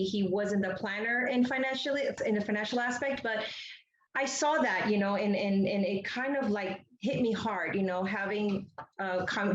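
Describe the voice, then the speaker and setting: A middle-aged woman from Ecuador talks as an interview guest over a video call. Her voice sits at a medium pitch, and she rambles chattily through personal stories. The sound is echoey and a little dull. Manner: chatty, rambling with repeated "you know". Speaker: interview guest, entrepreneur and public speaker. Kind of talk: conversational interview answer, personal storytelling. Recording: roomy, muffled video-call audio.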